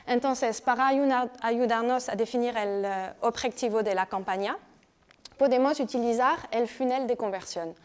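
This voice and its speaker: A woman from France speaks with a French accent, explaining her talk step by step to a room of listeners. Her voice sits a little high and comes across smooth and clear. She pauses on small hesitations and keeps checking that everyone follows. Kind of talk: conference talk.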